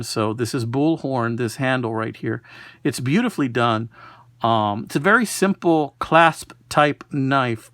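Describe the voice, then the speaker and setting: A man's voice: medium pitch, a bit bright, no room sound, studio quality. A man talking casually and unhurriedly, like a collector presenting an item in a homemade video. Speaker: knife collector presenting a find. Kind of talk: casual product showcase.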